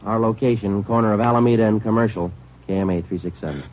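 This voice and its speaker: An American man acting a terse, weary police sergeant who narrates a vintage radio crime drama in a matter-of-fact deadpan. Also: fairly low pitch, quick pace, close to an everyday voice. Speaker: lead actor and narrator. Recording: muffled old radio recording, music behind.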